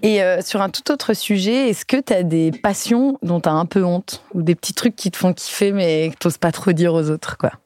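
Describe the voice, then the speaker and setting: A woman's voice: fairly low pitch, normal speed, few pauses, darkish tone, dry recording, studio quality. Chatty woman hosting a podcast. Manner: chatty, encouraging questions. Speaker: podcast host. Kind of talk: friendly interview.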